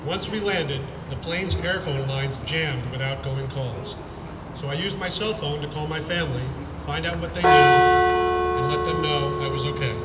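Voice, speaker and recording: Man reading a memorial account outdoors. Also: on the high side. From Connecticut. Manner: earnest, measured reading. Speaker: speaker at a memorial service. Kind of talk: reading a prepared personal account. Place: outdoor town green. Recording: echoey, distant outdoor PA recording with loud noise.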